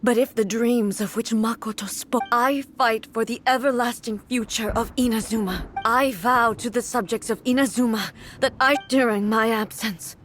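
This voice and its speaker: A woman voicing a resolute, dutiful warrior goddess in a video game, speaking in a calm, measured way with quiet sighs. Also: on the high side, slight background noise, lightly acted. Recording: game audio with music behind.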